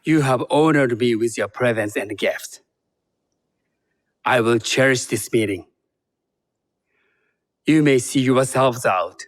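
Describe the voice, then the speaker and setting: A man playing a courteous, dignified tea master in a video game, with a formal, gracious and measured manner. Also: medium pitch, lightly acted. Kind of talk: video game cutscene acting.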